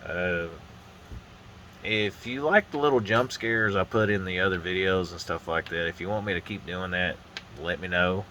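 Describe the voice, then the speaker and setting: Casual hobby vlogger, low-voiced man, congested and a bit nasal, meandering delivery recorded on a phone. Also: moderate pace, a little hiss or hum. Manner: rambling, relaxed, frequent uh and restarts. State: stuffy nose from a sinus infection. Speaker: model-building vlogger. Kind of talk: casual hands-on build commentary. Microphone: phone on a holder arm.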